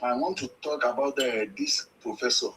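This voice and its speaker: A man's voice: mid-range voice, normal speed, pushed and bright, a little hiss or hum, poor audio. A man from Lagos with a Nigerian accent phoning in to a live show, speaking earnestly and repeating phrases for emphasis. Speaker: phone-in caller.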